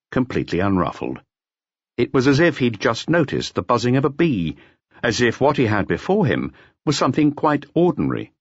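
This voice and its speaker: Male narrator, scripted audiobook storytelling, lowish husky voice, animated intonation, slightly dull recording. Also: dry recording.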